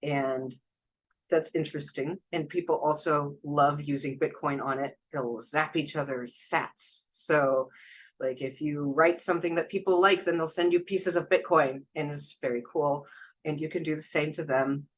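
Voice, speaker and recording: A woman's voice: deep voice, fairly even intonation, moderate pace. A woman guest speaking casually in an interview, a translator and former Chinese teacher, rambling and chatty with frequent laughs. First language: English.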